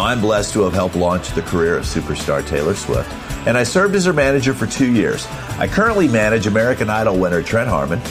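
A man hosting a podcast in a motivational, advice-giving style, emphatic and plain-spoken, with a husky voice. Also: sits low. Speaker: podcast host, music manager.

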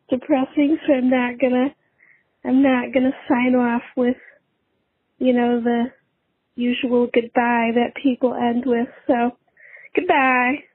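A woman caller talks slowly and casually over a tinny phone line. Her high, husky voice is halting and downbeat. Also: no echo. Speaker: caller leaving a message. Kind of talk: casual chat.

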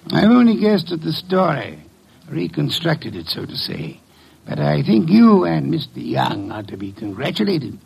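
A man playing a shrewd, pompous gentleman detective with formal, deliberate phrasing and a gravelly, husky voice in a 1950s radio drama. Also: on the high side, very animated, full character voice. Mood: smug, pointed.

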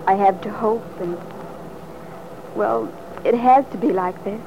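A woman's voice: medium pitch, measured pace, vocal fry. An actress playing an earnest, devoted and wistful young woman in an old-time radio drama, speaking hesitantly. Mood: earnest, resolute. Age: young adult. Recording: muffled, noisy vintage radio recording.

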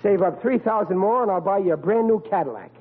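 A man's voice: sits high, lively intonation, fast, over a noisy crowd. An actor playing a blustering, stubborn working-class father in a 1940s radio sitcom, with emphatic, ungrammatical talk. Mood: self-righteous. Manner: emphatic, ungrammatical, exasperated blue-collar talk. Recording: tinny, noisy vintage radio recording.

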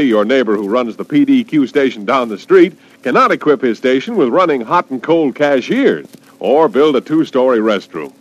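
A male announcer reads a scripted 1940s radio advertisement. His medium-pitched voice moves with very lively intonation as he makes the sales pitch. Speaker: commercial announcer.

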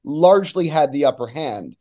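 A man who works as a sports announcer, giving casual, somewhat halting analysis as a guest on a talk show. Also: fairly high pitch, animated, mellow.

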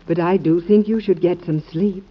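A husky, gentle, concerned wife. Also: female voice, lowish voice, steady tone, normal speed. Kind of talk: 1940s American radio drama acting. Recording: dull lo-fi old radio recording.

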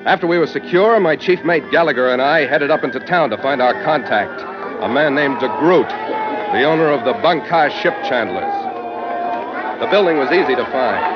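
An actor playing a tough, wry sea captain in a 1940s radio drama, with a clipped, hard-boiled delivery. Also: male voice, medium pitch, natural voice. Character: tough, wry seafaring captain narrating his log. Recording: muffled old radio recording.

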